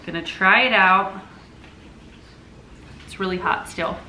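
A woman's voice: mid-range voice, takes it slowly, bright, forceful tone, slight background noise, poor audio. A woman in her thirties, a mom and vlogger, talking casually and upbeat while cooking at home, laughing often. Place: home kitchen, indoors.

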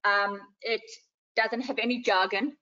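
A woman's voice: mid-range voice, steady tone, unhurried, bright, forceful tone. A woman hosting a video call interview, chiming in with enthusiastic interjections. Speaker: host. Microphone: video call webcam. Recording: dull distant video call audio.

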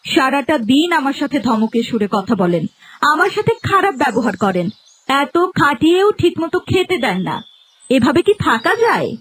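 A woman's voice: sits high, big pitch swings, normal speed, a bit bright. A woman playing a bossy, theatrical young daughter-in-law in a cartoon melodrama, with music underneath. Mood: aggrieved. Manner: scolding, theatrical.

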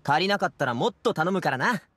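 A man's voice: on the high side, animated, quick pace, fairly bright tone, husky. A man voicing an easygoing but headstrong young man in an anime game, speaking in a casual, youthful way. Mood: friendly, generous. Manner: casual youthful masculine speech.

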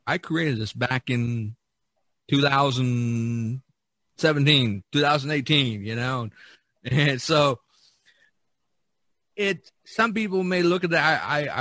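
A man's voice: mid-range voice, a bit bright, no room sound. A man chatting casually on a podcast, explaining things in a rambling way with many "you knows". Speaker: artist on a podcast.

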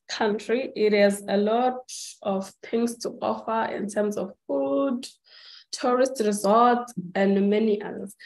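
A student from Zimbabwe presenting over a video call, speaking hesitantly with restarts. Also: female voice, mid-range voice, darkish tone, poor audio.

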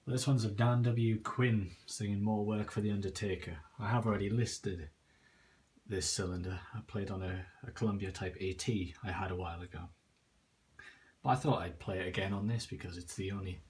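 A man giving a casual show-and-tell in an informal, understated way, recorded on a handheld device in a small room at home. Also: sits low. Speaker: antique phonograph collector. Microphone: handheld phone or camera, speaker off screen. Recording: noisy handheld recording with clicks.

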